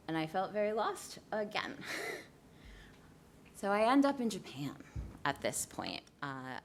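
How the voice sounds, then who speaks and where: A woman in her thirties from New Jersey, telling her life story to a leadership workshop in an earnest way, with pauses and fillers. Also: fairly low pitch, slight background noise. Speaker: guest speaker. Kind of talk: personal storytelling talk. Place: event room.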